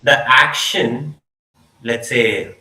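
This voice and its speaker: A young man lectures on maths over a video call, his voice bright and a little distant from the laptop mic. The room gives it a clear echo. He talks informally, restarting words and letting sentences trail off. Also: medium pitch, extremely slow. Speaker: student lecturer. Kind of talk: online math lecture. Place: small room indoors. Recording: echoey video call audio.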